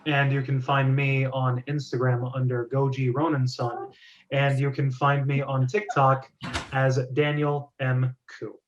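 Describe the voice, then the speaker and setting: A man's voice: medium pitch, flat delivery, a little slow. A man hosting a casual group discussion over an online call. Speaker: host. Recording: dull lo-fi call audio.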